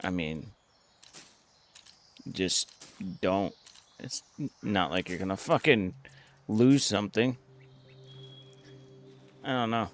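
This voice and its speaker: A man's voice: lowish voice, lively intonation, slow pace, pauses often. A middle-aged man streaming games, talking bluntly and rambling, swearing freely as he plays. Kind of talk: casual gaming commentary.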